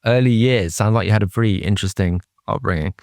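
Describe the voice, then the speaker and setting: A man with a fairly low voice hosts a casual interview podcast. He sounds understated, feeling his way haltingly through questions, in a close, dry recording. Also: gravelly, darkish tone, dry recording.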